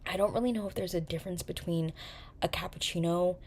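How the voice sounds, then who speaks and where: A woman's voice: on the low side, fast, slight background noise. A young woman from New York hosting a casual, chatty vlog, swearing and joking as she talks. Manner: chatty, animated, swears and jokes. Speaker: vlogger, podcast host. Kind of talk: casual chat to camera.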